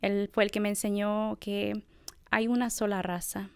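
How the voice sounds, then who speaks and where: A woman's voice: mid-range voice, little pitch movement, unhurried, gravelly, darkish tone, smooth. A middle-aged woman, a professor, speaking thoughtfully in an interview with many fillers. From United States. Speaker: assistant professor of bilingual education. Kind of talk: conversational interview.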